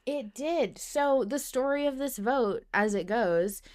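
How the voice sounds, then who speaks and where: A woman hosting a podcast, chatting casually and emphatically, with informal, animated delivery. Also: high voice, slow, clean tone. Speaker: podcast host. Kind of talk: casual fan podcast chat.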